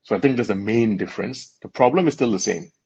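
A man's voice: on the low side, quick pace, vocal fry, a little mellow, slightly rough. A man from Malaysia, a guest in an interview, explaining in an earnest way and laughing often. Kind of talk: conversational interview. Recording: dull lo-fi sound.

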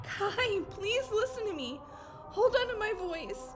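A woman's voice: very high-pitched, expressive, drawn-out words, warm tone, echoey room, big-room sound, slight background noise, exaggerated voice. A woman acting as a frightened, loyal friend, emotional and imploring, in a horror audio drama. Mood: soft, coaxing. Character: terrified, loyal young woman pleading with possessed friend. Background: music.

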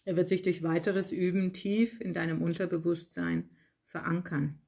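A yoga nidra guide reading a scripted relaxation in calm, measured short phrases with pauses. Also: medium pitch, creaky. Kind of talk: slow guided relaxation meditation, read from a script.

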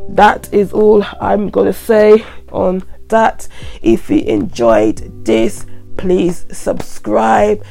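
A woman, croaky from a head cold, giving chatty, repetitive money advice straight to her viewers. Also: mid-range voice, drawn-out words, warm tone, soft background music. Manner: chatty, repetitive, earnest warnings. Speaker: vlogger. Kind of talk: casual advice monologue to camera.

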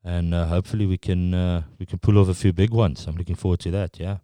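Middle-aged South African guest, Afrikaans accent, resonant low voice, stop-start delivery. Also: male voice, steady tone, mellow, no room sound. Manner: halting, full of restarts and fillers. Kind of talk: casual interview chat.

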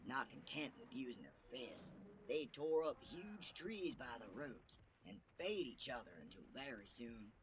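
A man's voice: medium pitch, big pitch swings, measured pace, a little hiss or hum, low-quality recording. A man narrating a scripted fairy tale as the storyteller. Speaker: storyteller host.

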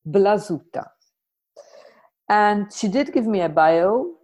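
A woman hosting a podcast, introducing and questioning in a conversational, reflective way with deliberate pauses. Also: medium pitch, expressive, a little mellow. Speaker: podcast host.

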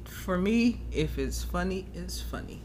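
A man's voice: sits high, animated, unhurried, a little mellow, slight background noise. A man co-hosting a podcast in casual chat, with a teasing, informal, slangy way of talking. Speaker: podcast co-host.

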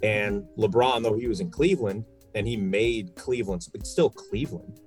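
A man from New York with a slightly deep voice talks sports casually. He makes his points emphatically and throws out rhetorical questions. Faint music sits under his voice. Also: normal speed, darkish tone. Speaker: sports podcast guest and radio play-by-play broadcaster. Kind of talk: casual sports talk, opinionated.

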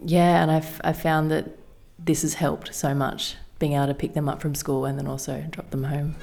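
A woman's voice: deep voice, little pitch movement, moderate pace, vocal fry, over music. An Australian woman speaking informally as a youth group coordinator, giving an announcement.

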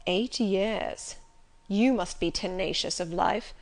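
A woman voicing a gruff, brusque, middle-aged master of the house in an audiobook, curt and commanding. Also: high voice, normal speed, fairly bright tone. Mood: imperious, probing.

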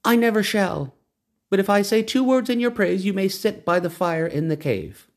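A man's voice: high voice, lively intonation, moderate pace, voice pushed up. A man putting on a proud, lofty cave woman. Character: wise proud cave woman, high and lilting.

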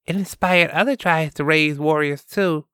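A man doing a calm lore-telling young woman. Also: a little high, smooth, no echo, studio quality, voice pushed up. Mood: explaining. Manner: explanatory, measured storytelling.

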